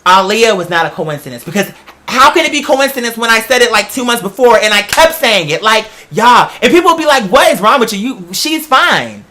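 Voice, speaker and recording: Bright-voiced, emphatic casual storyteller. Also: medium pitch, big pitch swings, fairly quick, a little hiss or hum. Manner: emphatic, repeats phrases for effect; candid, informal slangy speech with swearing. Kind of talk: personal storytelling, casual and conversational.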